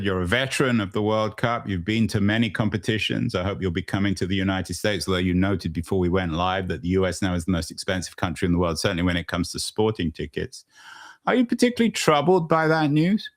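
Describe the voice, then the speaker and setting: A man hosting an interview podcast, asking long, meandering questions with hesitations and fillers. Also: lowish voice. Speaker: podcast host.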